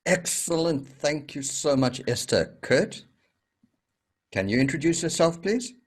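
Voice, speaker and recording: A man hosting an online speakers meeting, introducing each guest in a measured, welcoming way. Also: fairly high pitch, expressive, slightly rough. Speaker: host. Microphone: video call.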